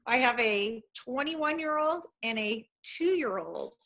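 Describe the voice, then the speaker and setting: Slow-speaking woman in her forties. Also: a little high, expressive, fairly bright tone, poor audio. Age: 40s. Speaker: new consultant. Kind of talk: casual self-introduction on a group call. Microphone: video call.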